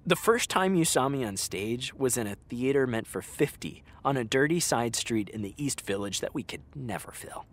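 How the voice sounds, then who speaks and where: A man's voice: mid-range voice, expressive, moderate pace, hardly any pauses, natural voice. A man playing a hurt, fiery actor fed up with an old friend, speaking emphatically and sarcastically in a naturalistic audio drama. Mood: bitter, wounded.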